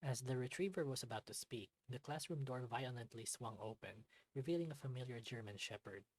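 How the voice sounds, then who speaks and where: A narrator reading a visual novel aloud in expressive second-person storytelling. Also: mid-range voice, brisk, no echo, low-quality recording.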